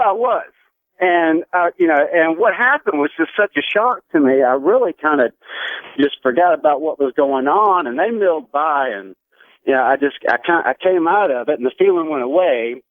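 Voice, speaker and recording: Phone caller, higher-voiced American man. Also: animated, fairly quick, no echo. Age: 40s. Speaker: radio show caller. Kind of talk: recounting a personal anecdote. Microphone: telephone. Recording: tinny narrow phone line on radio.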